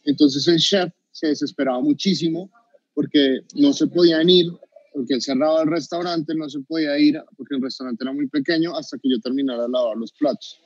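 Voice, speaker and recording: A man's voice: fairly high pitch, steady tone, fairly quick, husky, no echo. A man in his thirties with a Bogotá Colombian accent, a chef telling his story on a video call, hesitant with many fillers and restarts. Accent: Bogotá Colombian Spanish. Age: 30s. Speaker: chef guest. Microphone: video call webcam. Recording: dull dry video call audio.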